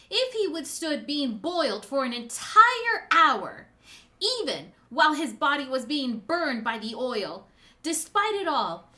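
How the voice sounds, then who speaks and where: A woman's voice: mid-range voice, bright, forceful tone, pitched below the performer's own voice. A woman voicing a proud, grieving samurai's daughter, declaiming a comic's lines with impassioned, vengeful emphasis. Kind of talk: amateur dramatic reading of a comic's dialogue.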